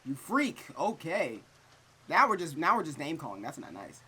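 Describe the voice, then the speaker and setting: A man hosting a visual novel playthrough, chatting casually with animated, wry reactions to what he reads. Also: a little high, normal speed, breathy edge. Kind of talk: casual chatty let's play commentary, reading game text aloud. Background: game music, looping rain.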